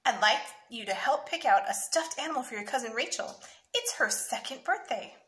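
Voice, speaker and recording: A woman voicing a patient, gentle storybook mother who reasons kindly with her young boy. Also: fairly high pitch, animated, moderate pace. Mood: cheerful. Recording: roomy echo, faint noise.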